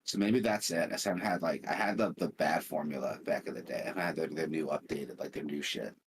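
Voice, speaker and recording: A young man co-hosting a podcast, talking in a low, groggy, somewhat flat voice and rambling with lots of "like" and swearing. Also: quick pace, slight background noise. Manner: rambling, full of "like" fillers and swearing. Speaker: podcast co-host. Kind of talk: casual chat.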